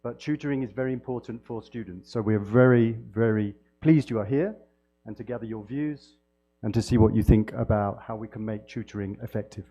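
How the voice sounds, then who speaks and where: A man from the United Kingdom giving a university lecture as a guest speaker, with a loud voice and a friendly, halting delivery full of fillers and restarts. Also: lowish voice, dull audio. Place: lecture hall. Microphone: handheld mic.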